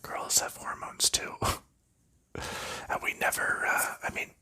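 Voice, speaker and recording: A man whispers for an ASMR video in a very bright, airy tone. His talk rambles at an unhurried pace, full of little fillers and soft laughs. He chats as he sorts board game pieces. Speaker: ASMR creator.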